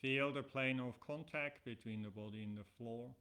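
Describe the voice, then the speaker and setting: Man, middle-aged, mid-pitched, bright forward tone, yoga nidra teacher, deliberate paused phrasing. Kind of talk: guided relaxation instruction.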